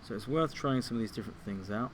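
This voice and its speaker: A man giving an informal step-by-step software tutorial as a music tutor, thinking aloud a little hesitantly. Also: mid-range voice, quick pace, noisy background.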